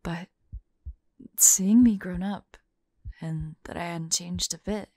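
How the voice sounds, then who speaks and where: A woman voicing a tormented young monster girl fighting bloodthirst, tender and frightened, halting and often dropping into whispers in an intimate audio roleplay. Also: fairly low pitch, steady tone, mellow, dry recording, clean studio sound. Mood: tender, disbelieving.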